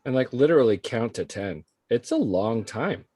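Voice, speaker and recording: A man's voice: mid-range voice, sing-song, normal speed, mellow, breathy edge, dry recording, studio quality. A man explaining things to parents in a casual, loosely phrased way as a program facilitator. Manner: informal, hedging with frequent "like". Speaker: early childhood program facilitator.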